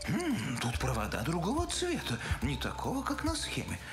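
A man voicing a gruff, bossy, impatient animal hero in an animated film, with a gravelly voice and exasperated delivery. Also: a little high, normal speed, music in the background. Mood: nervous, muttering. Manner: exasperated, urgent, sardonic.

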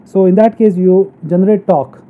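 A narrow, phone-like recording carries a man's lecture. He teaches engineering in an explanatory way, moving step by step. His delivery is halting as he works through each point. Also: high voice, steady tone. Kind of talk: explanatory technical lecture over slides.

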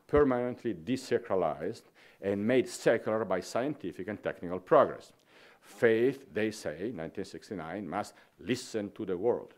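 A middle-aged man with an Italian accent reads a scholarly lecture from his notes at a conference podium. His voice sits a little low, and his delivery is measured and careful, with the odd restart as he works through long sentences. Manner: measured, reads dense prose with slight restarts. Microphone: podium microphone.

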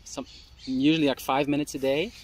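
A man in his thirties from Belgium with a Flemish accent, a native Dutch speaker, answering interview questions in a relaxed way with many fillers and restarts, seated at an outdoor picnic table. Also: medium pitch, fairly quick, a little hiss or hum. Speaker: guest, language teacher.

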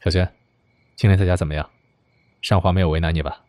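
Guy acting a low voiced gentle boyfriend, reserved, radio drama. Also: steady tone, fast talker, no echo, lightly acted. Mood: uneasy. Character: caring, reserved man in love triangle. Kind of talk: Chinese audio drama acting.

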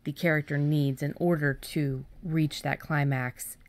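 A woman's voice: deep voice, steady tone, unhurried, dry recording. A woman co-hosting a podcast, talking in a casual, conversational style. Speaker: podcast co-host.

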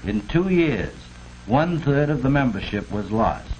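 A man's voice: medium pitch, very animated, unhurried. A man reading scripted 1940s-style labor film narration in a formal, declamatory manner. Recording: noisy old archival film soundtrack.

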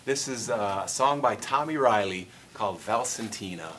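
A man's voice: on the low side, normal speed, a bit bright. Friendly man explaining harmonicas. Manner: friendly, explanatory, counts out rhythms. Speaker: host and harmonica player. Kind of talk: casual interview and demonstration. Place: convention room with dark curtains.

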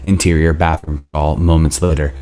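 A man's voice: a bass voice, little pitch movement, normal speed, mellow. A man in his thirties reading screenplay narration aloud at a table read. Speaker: stage directions reader. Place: indoor room at home, video call.

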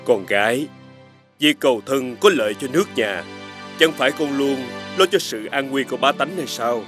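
An actor playing an aging, firm but caring king in an animated fairy tale, speaking formally and with measured dignity. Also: medium pitch, lightly acted. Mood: pleading, fatherly. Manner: formal, measured royal speech. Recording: faint music behind.

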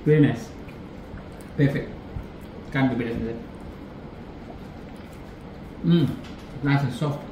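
A man, the family cook, chatting at dinner in a home kitchen, relaxed and praising the food. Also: mid-range voice, moderate pace. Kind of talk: casual family chat. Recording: echoey kitchen with background noise.

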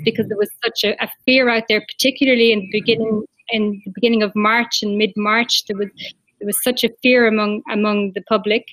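A woman's voice: fairly high pitch, no echo, rough recording. Irish woman cardiologist on a video call. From Ireland. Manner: fluent, repeats words while searching for them. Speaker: interventional cardiologist. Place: small room indoors. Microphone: video call, laptop mic.

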